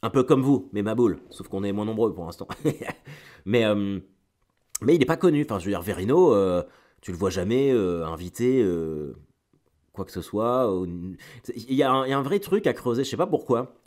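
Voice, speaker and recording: A middle-aged man speaks in a fairly low voice, a little stuffed up a cold. He chats informally like a solo podcaster, wandering into asides, repeating words and searching for phrasing. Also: animated, normal speed, darkish tone. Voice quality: slightly congested, stuffy nose from a cold. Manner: informal, digressive, hesitant with repeated words, slangy. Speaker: stand-up comedian hosting a solo weekly show. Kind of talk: casual solo podcast chat to listeners.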